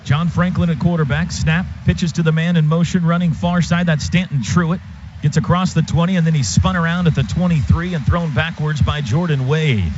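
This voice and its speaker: A man calling live football play-by-play on radio, with an excited running description of the action. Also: on the high side, moderate pace. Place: stadium radio booth. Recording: dull radio sound over loud stadium noise. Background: loud crowd and stadium noise.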